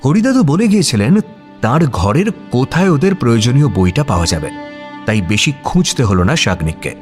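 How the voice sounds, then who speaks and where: A male narrator reads a scripted story expressively, with a mid-range voice. His intonation rises and falls widely, and soft music runs underneath. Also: mid-range voice, sing-song, brisk.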